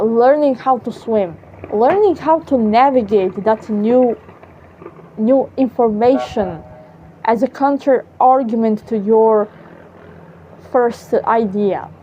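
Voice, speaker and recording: A woman with a Serbian accent musing aloud about life in a halting, self-correcting way, swearing casually now and then. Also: a little high, slight background noise. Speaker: vlogger. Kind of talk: rambling philosophical musing. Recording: muffled lo-fi audio.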